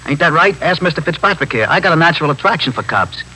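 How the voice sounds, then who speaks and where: A man's voice: a little high, animated, fast, pushed and bright, husky, full character voice, poor audio. A man playing a defensive, street-smart small-time crook in a 1950s radio drama, full of slangy, evasive back talk. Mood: irritated, cocky. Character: defensive street-smart small-time male drug pusher, cocky and irritable.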